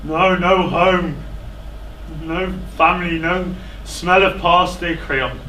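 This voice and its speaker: A man on stage playing an anguished, self-loathing fallen king in a classical tragedy, declaiming in halting, pleading phrases. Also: sits high, steady tone, sharp tone, exaggerated voice. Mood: bitter self-loathing. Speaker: stage actor. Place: indoor theatre stage. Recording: echoey theatre, distant microphone, faint noise.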